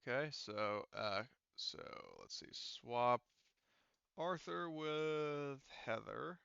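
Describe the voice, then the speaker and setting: A man's voice: medium pitch, animated, drawn-out words, vocal fry, a bit bright, clear, resonant tone, dry recording, lo-fi sound. A man acting as game master in a casual online tabletop game, explaining things in a hesitant way.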